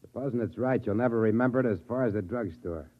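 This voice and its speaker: An actor playing a world-weary bartender, wry and offhand, in a 1950s radio drama. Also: male voice, lowish voice, quick pace, natural voice. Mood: wry, amused. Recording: dull vintage radio recording.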